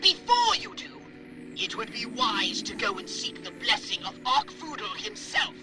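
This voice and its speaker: A man voicing a pompous, excitable alien commander in a video game, speaking in a grandiose, theatrical, wordy way. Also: very high-pitched, a little slow, over music, heavily acted, low-quality recording. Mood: instructive. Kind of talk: video game cutscene acting.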